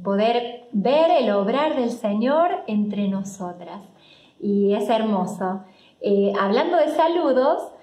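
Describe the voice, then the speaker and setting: A woman with an Argentine accent hosting to camera, pausing between phrases, recorded in an echoey living room. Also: a little high, dark tone. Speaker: host. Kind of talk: presenting to camera.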